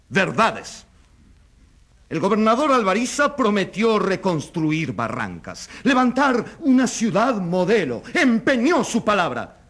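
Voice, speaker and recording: Indignant, high-pitched crusading journalist. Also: male voice, a bit bright, exaggerated voice. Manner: emphatic, oratorical, rhetorical questioning. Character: indignant crusading male journalist acting as accuser. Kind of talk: dramatic radio play acting.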